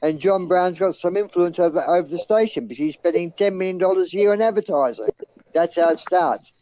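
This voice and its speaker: A man's voice: high voice, fairly quick, muffled recording, a crowd in the background. A man with an Australian accent co-hosting a casual chat, talking bluntly and saltily and cutting in often. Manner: blunt, salty, cuts in often.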